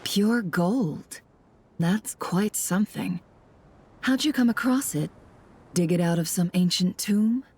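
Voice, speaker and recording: A woman's voice: lowish voice, takes it slowly, darkish tone, a little hiss or hum. A woman playing a shrewd, composed traveler in a video game, speaking politely and with calculation. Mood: shrewd, businesslike. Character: shrewd woman traveler posing as antiques smuggler. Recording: music under the voice.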